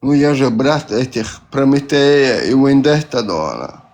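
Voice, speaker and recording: Man, Caucasian accent, gravelly voice, rambling, hesitant, voice-chat caller. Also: mid-range voice, sing-song, measured pace, darkish tone. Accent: Caucasian-accented Russian. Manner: rambling, hesitant, fillers and self-corrections. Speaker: caller on a live stream. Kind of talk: casual chat.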